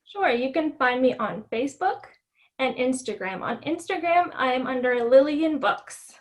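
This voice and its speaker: Female guest author, high voice, for storybook reading and short interview answers. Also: animated, normal speed. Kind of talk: storybook read-aloud and interview.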